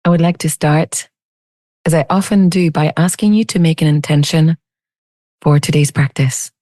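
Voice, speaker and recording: A woman leading a guided meditation as a teacher, speaking in a soothing, unhurried, gently inviting way. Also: low voice, no echo, studio quality.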